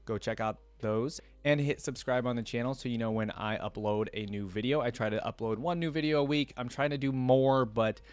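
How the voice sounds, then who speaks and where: A man's voice: medium pitch, brisk, hardly any pauses, clean tone, dry recording. A man giving casual, unscripted commentary to viewers as a reaction video host, rambling and emphatic in a conversational way.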